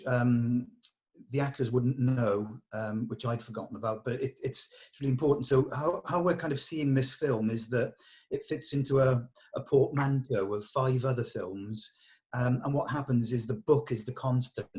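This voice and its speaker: A man leading a script table read, explaining notes to actors and often pausing mid-thought. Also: medium pitch, a little mellow.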